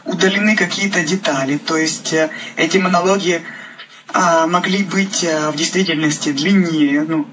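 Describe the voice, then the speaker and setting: A woman's voice: fairly low pitch, fairly bright tone, a little hiss or hum, low-quality recording. A woman from Ukraine, a playwright, speaking spontaneously in an interview and often searching for words.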